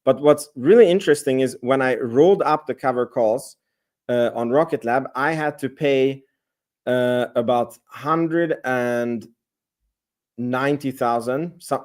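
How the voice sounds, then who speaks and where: A man hosts a casual chat and rambles with frequent restarts. His voice sits at a middle pitch. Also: darkish tone. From Hungary. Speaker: host.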